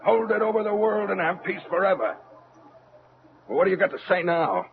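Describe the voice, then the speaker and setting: A man's voice: high-pitched, lively intonation, brisk, gravelly, husky, exaggerated voice. An actor playing an agitated military man in an old-time radio drama, with an urgent, demanding, emotional delivery. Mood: angry, accusing. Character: agitated, accusing military man, younger than the scientist. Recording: tinny, band-limited old radio recording.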